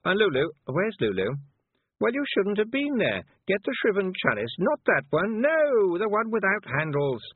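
Man acting a teenage girl witch, shrill high pitch, bossy, condescending, emphatic, storybook character, phone-like sound. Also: huge pitch swings, normal speed, slightly rough, dry recording, full character voice. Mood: exasperated scolding. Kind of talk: audiobook character voice. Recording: muffled narrow-band phone-like sound.

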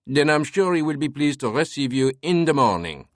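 A man's voice: fairly high pitch, very animated, moderate pace, no room sound, studio quality, pitched above the performer's own voice. A man voicing an irritated, stiff French manservant in an audiobook, with a French accent and a curt, formal manner. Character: irritated, stiff French manservant guarding his master's sleep.

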